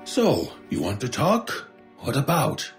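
A man's voice: mid-range voice, huge pitch swings, slow, faint music behind, full character voice. An actor playing a nervous, frightened but placating male shopkeeper in a horror radio drama, anxious and prone to stammer. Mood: wary. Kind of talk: old time radio style horror audio drama.

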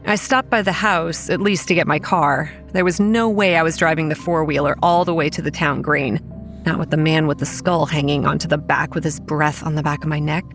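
An actress playing a wary, anxious young campground manager who narrates a horror audio drama in the first person. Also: female voice, low voice, fairly even intonation, fairly quick, soft background music. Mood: wary narration.

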